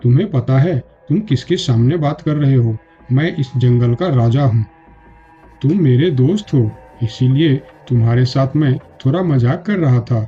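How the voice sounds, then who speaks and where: Mellow-toned proud jungle beast, played by a man. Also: medium pitch, measured pace, muffled recording, faint music behind, lightly acted. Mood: indignant. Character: proud, powerful male jungle animals: lion king, bear. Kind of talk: Hindi animated fable dialogue.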